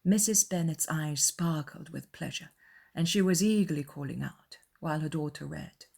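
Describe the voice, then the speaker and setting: A woman's voice: low-pitched, moderate pace, vocal fry, a little mellow. A woman reading scripted literary narration as the narrator of a dramatized audiobook.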